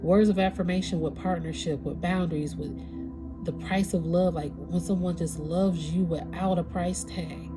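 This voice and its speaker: Woman, lower register, congested and stuffy, easygoing card reader talking to her viewers, music bed underneath. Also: steady tone, moderate pace. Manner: casual, conversational, searching for words. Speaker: tarot reader. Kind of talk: spiritual reading for a collective audience.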